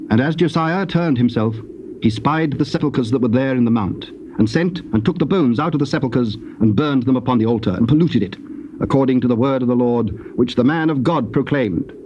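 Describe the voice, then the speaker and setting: A man narrating scripture from a script in a measured, formal manner. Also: mid-range voice, a little mellow. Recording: dull cassette transfer with faint noise.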